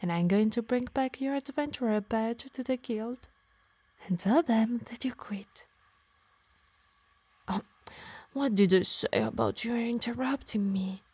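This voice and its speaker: A woman voicing a possessive, doting yet menacing harpy in an intimate ASMR roleplay, teasing and coaxing softly, often in whispers. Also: fairly high pitch, measured pace, pauses often, no room sound. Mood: possessive, controlling. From Italy. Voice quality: breathy, soft. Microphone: close, dry microphone.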